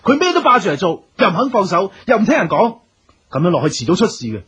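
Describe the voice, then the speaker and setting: A man's voice: sits high, huge pitch swings, quick pace, fairly bright tone, breathy edge, full character voice. A man with a Hong Kong Cantonese accent playing a blunt, resentful and scheming younger brother in a radio drama. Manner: blunt, complaining.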